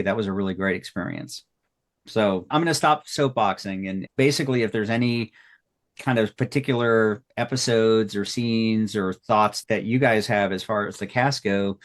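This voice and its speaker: A man talking casually and reflectively as a podcast creator looking back, rambling and appreciative of his colleagues. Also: fairly low pitch. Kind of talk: casual retrospective talk.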